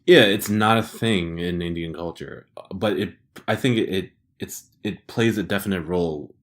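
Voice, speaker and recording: Laid-back man with a very low voice. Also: moderate pace. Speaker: podcast co-host. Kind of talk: casual chat.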